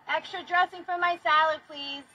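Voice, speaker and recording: A woman's voice: very high voice, fairly even intonation, normal speed, pushed and bright. A woman in her thirties chatting casually and bluntly, with plenty of swearing, on a livestream from a car. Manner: blunt, profane. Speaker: livestream host. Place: inside a car. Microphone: phone at arm's length. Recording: car interior with noise.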